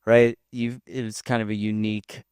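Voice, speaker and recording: A man's voice: on the low side, little pitch movement, moderate pace, darkish tone, smooth, dry recording, studio quality. A man interviewing a guest on stage, asking questions in a casual, hesitant way. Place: event stage with audience.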